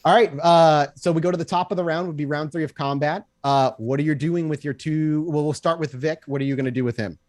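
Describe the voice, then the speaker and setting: A man's voice: on the high side, steady tone, brisk, few pauses, darkish tone, dry recording. A man running a tabletop game as game master, narrating in an improvised, conversational way.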